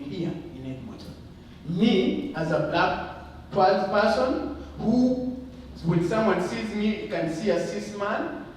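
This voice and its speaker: A man with a slightly high voice speaks passionately to a group, repeating phrases for emphasis and asking rhetorical questions. He is recorded from far off in a very echoey hall with clear background noise. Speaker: community forum speaker. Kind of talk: impassioned public speaking to a group. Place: large indoor arts venue.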